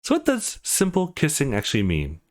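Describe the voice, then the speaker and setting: Man reading as a playful, animated young game heroine. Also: fairly high pitch, fairly quick, pitched above the performer's own voice. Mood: playful, eager.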